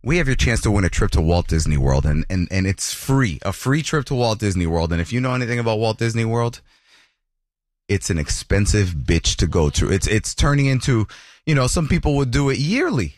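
A man hosting a morning radio show, chatting with a caller and reading ads in a lively, chatty way. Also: fairly low pitch, brisk, no echo, studio quality. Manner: lively, chatty, laughs easily. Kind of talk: radio show talk and ad reads. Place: radio studio.

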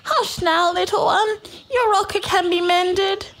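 A woman's voice: very high-pitched, animated, normal speed, pushed and bright, voice pushed up, full character voice. A woman voicing a fussy, motherly mama koala with a whiny, cooing manner in a children's story. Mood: soothing. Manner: whining, cooing.

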